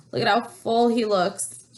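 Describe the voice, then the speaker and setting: Lady teacher doing storytime for kids, animated reading, asks the little ones questions as she goes. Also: mid-range voice. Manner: animated, pauses to question young listeners and explain words. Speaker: teacher reading a picture book aloud to children. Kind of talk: expressive read-aloud storytelling.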